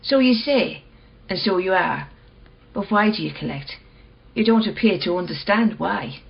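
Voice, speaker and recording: An actress playing a cool, probing woman who will not budge, measured and blunt, in a radio play. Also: mid-range voice, expressive, vocal fry, lo-fi sound. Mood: challenging. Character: cool, probing, unyielding female owner of rare mirror.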